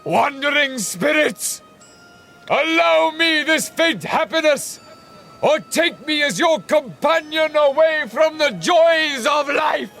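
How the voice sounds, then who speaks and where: An actor playing a tormented, half-mad young scientist in an audiobook drama, theatrical and declamatory. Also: male voice, extremely high pitch, expressive, slow, creaky, pushed and bright, soft background music, full character voice. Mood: desperate pleading.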